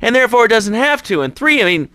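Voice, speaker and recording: An actor playing a wry, sarcastic talk radio host who banters with callers on a phone-in show. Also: male voice, extremely high pitch, very animated, fast, bright, forceful tone, clean tone, no room sound, full character voice. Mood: sarcastic, bemused.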